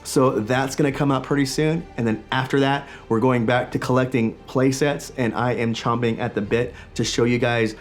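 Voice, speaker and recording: A man in his forties with a slightly raspy voice, still recovering from illness, hosting a toy collecting vlog from a small home studio in an enthusiastic, chatty way. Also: mid-range voice, steady tone, moderate pace, hardly any pauses, faint music behind. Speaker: toy collecting channel host. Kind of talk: casual vlog talk to camera.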